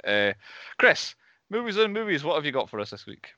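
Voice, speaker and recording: Scottish guy hosting a podcast, fast and chatty, bright dry voice. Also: a little high, big pitch swings, no echo, lo-fi sound. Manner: quick, chatty, laughs often. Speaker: podcast host. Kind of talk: casual chat.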